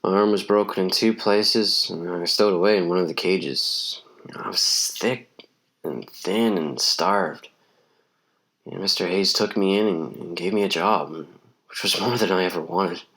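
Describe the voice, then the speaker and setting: A man playing a gentle, protective young sailor in an audio drama, speaking softly and sincerely. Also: sits low, measured pace. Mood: wistful.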